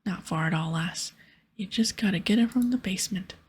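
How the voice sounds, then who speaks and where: A woman voicing a bossy, sarcastic male pirate captain from a game in a breathy whisper. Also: medium pitch, a little fast. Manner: brusque, sarcastic, teasing. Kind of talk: whispered game dialogue reading.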